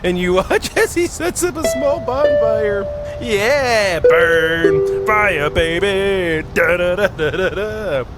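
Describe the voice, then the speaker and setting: Guy with a high swoopy voice doing a goofy let's play, reading game text and cracking jokes, loud game music under him. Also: expressive, takes it slowly. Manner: goofy, giggly, exclaiming, drifts between reading and quips. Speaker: gaming video host. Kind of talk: playful let's play, reading story aloud with joking asides. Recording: music behind the voice.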